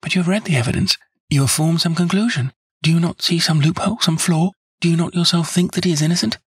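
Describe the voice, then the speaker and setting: A man's voice: quick pace, husky, no room sound, studio quality. A man reading an audiobook, voicing an earnest young woman who pleads insistently in a raised, eager voice. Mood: pleading. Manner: breathless, insistent, high and eager. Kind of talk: audiobook character voice.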